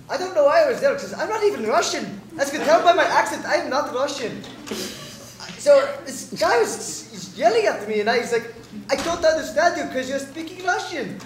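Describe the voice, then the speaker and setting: A young woman tells a silly improvised story, giggly and bewildered. Her high voice leaps around lively. The room around her is echoey. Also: slight background noise. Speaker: improv player.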